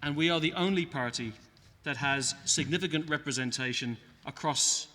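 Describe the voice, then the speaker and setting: A man with an English accent giving a measured speech as a guest speaker at a political panel, pausing with ums. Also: a little high, pushed and bright, in a large hall. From born in England, Irish. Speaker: guest speaker, Irish senator.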